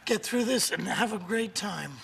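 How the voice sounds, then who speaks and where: A hoarse man with laryngitis, a guest author and coach talking in an upbeat, emphatic, motivational way on a morning show. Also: high-pitched, moderate pace, a bit bright, slight background noise.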